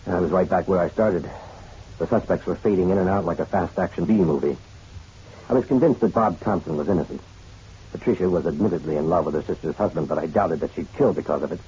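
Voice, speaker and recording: Deep-voiced wry private eye. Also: male voice, fast, warm tone, husky. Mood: brooding narration. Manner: wry, flirtatious, colloquial. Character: wry hard-boiled male private detective. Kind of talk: old-time radio detective drama, first-person narration and dialogue. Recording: dull muffled radio drama recording.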